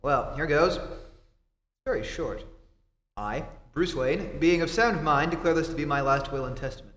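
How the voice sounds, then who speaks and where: Man acting a steady take-charge reporter, echoey hall. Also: on the high side, natural voice. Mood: investigating. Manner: brisk, authoritative, reassuring. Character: steady, take-charge adult male reporter, secret hero. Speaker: amateur theatre actor. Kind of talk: old-time radio acting. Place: theatre stage, live performance. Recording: echoey hall, faint noise.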